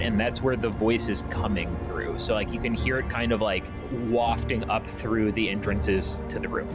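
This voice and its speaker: A man narrating a tabletop roleplaying game as game master, explaining and describing scenes. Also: medium pitch.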